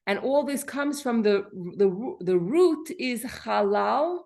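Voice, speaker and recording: A woman teaching an informal class, explaining word meanings haltingly, repeating and rephrasing her points and putting questions to her listeners. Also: fairly high pitch, expressive, gravelly, mellow. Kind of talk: informal spoken lesson explaining Hebrew vocabulary.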